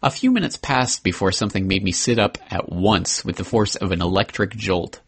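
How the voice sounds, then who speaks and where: A man hosting a podcast, reading a memoir excerpt aloud in an earnest, measured way with the odd slip and restart. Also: deep voice, slightly rough.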